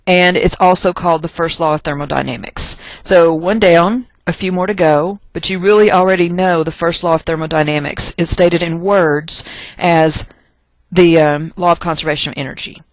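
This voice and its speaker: An American teacher from Texas with a mid-pitched voice gives a chemistry lecture in a folksy, conversational way. Key points get deliberate stress and repetition, with joking asides along the way. The sound is dry, with almost no room echo. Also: steady tone. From Texas, United States. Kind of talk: lecture narrated over class notes.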